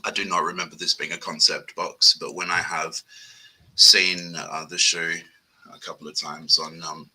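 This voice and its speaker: A man with an Australian accent and a very deep, low voice, talking about his sneakers in a relaxed, laid-back way on a livestream call. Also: normal speed, husky, low-quality recording. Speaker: sneaker collector guest. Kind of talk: casual livestream chat.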